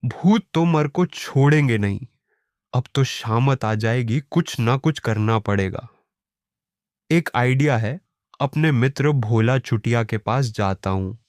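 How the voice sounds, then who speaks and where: A man's voice: mid-range voice, takes it slowly, a little mellow, dry recording, clean studio sound, natural voice. A man voicing a hapless, cheeky village priest in a cartoon, with a theatrical, pleading manner. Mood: worried, pleading.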